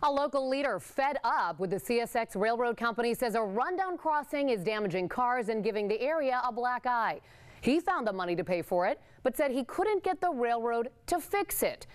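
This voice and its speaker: A woman's voice: a little high, lively intonation, moderate pace. A woman in her thirties or forties anchoring a TV newscast from a studio with scripted delivery. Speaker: TV news anchor. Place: TV news studio.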